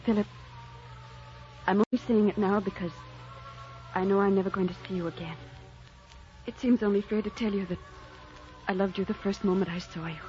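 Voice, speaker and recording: A woman's voice: medium pitch, fast, soft background music, natural voice. A woman acting an anguished, self-sacrificing romantic heroine in an old radio drama, earnest and pleading. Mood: tender. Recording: dull, muffled old radio recording.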